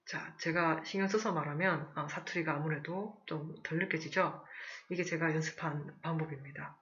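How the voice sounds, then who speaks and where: Teacher, casual live class, middle pitch, frequent throat-clearing, fillers, slow read-aloud sentences, dull lo-fi audio. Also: fairly even intonation, a bit bright. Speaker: English conversation teacher.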